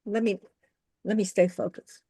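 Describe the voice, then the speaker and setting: A woman's voice: mid-range voice, fairly even intonation, normal speed, dark tone, breathy edge, no room sound. A woman hosting a podcast interview, chatting casually, affirming her guest and adding playful asides. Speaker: podcast host.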